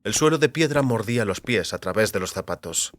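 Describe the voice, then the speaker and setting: A man reading scripted literary narration for an audiobook with measured, deliberate phrasing. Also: lowish voice, lively intonation, slight background noise. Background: faint music.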